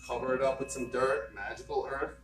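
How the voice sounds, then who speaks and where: A man leading a guided meditation, giving slow, soothing instructions and repeating phrases. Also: medium pitch, fairly even intonation. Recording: roomy and distant with loud music.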